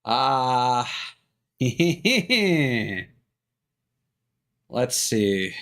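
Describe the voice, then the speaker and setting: A man doing casual live game commentary as a streamer, thinking aloud in a rambling, halting way. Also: mid-range voice, big pitch swings, fairly bright tone. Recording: music behind the voice. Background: game music.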